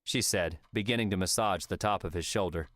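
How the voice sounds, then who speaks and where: A man's voice: deep voice, brisk, fairly bright tone, no room sound, clean studio sound. A man reading scripted story narration as an audiobook narrator. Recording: music under the voice.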